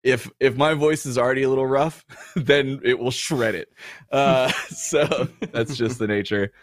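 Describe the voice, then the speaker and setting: A man's voice: mid-range voice, unhurried, no room sound, clean studio sound. A man in his thirties, a show co-host, chatting casually on a livestream with frequent fillers and laughs.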